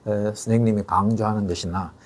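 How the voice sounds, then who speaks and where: Older man, education expert, steady measured delivery, filler-heavy, rhetorical. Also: on the low side. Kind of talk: explanatory interview talk.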